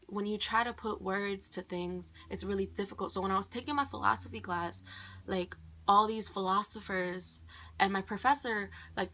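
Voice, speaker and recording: Young woman, native Khmer speaker, bright voice, loose rambling vlog delivery. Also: mid-range voice. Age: young adult. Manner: rambling, heavy on "like" and "um". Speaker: college student vlogger. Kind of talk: casual rambling monologue.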